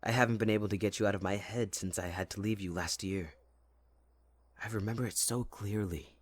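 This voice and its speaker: Husky, low-voiced winter spirit, acted by a man. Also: steady tone, fairly quick, close to an everyday voice. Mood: tender, longing. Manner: teasing, dramatic, addressing the listener closely. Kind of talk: intimate ASMR audio roleplay acting.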